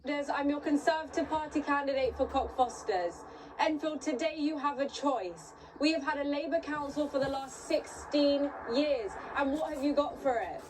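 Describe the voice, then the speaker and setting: High-voiced woman campaigning. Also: far from the mic, background noise. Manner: earnest, list-like pitch. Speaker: political candidate. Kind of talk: campaign video appeal.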